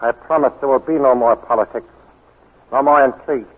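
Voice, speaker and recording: An actor playing a courtly, scheming Japanese baron, formal and ingratiating, in a 1940s radio drama. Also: male voice, mid-range voice, fairly quick, close to an everyday voice. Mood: suave, courtly. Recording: muffled old 1940s radio broadcast.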